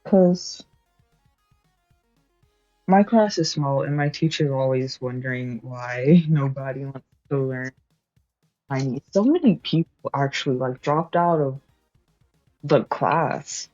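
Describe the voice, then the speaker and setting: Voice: medium pitch, takes it slowly, pauses often, darkish tone, dry recording, muffled recording, soft background music. A young adult streamer chatting casually while studying, rambling, correcting themselves and laughing often.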